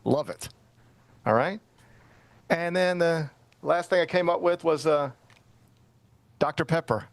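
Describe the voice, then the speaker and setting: A man's voice: on the high side, measured pace, pauses often, dry recording. A middle-aged man hosting a sports talk show, chatting casually and telling a rambling, self-mocking story. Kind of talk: casual radio-style chat.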